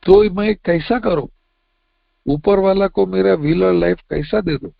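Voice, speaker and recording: Man, Mumbai accent, high and gravelly, plain testimony share, dull dry lo-fi audio. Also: a little slow, no echo. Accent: Mumbai Hindi. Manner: plain, conversational, mixes in English phrases. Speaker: recovering member sharing at a meeting.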